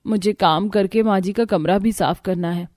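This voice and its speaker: A woman playing a gentle, pleading young daughter-in-law. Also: mid-range voice, moderate pace, no echo, studio quality, close to an everyday voice. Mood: sorrowful. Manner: polite, pleading. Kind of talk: Hindi cartoon story acting.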